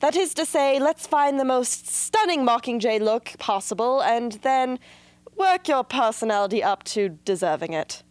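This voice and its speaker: A woman playing a brisk, image-obsessed film director in a radio play, theatrical and condescending. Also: on the high side, animated, no echo, lightly acted. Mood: visionary. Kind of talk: amateur radio drama acting.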